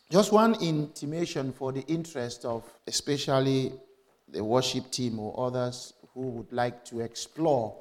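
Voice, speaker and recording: A man speaking as a church minister leading a service, with measured, formal and deliberate phrasing. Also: mid-range voice, darkish tone. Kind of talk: church welcome, announcements and scripture reading.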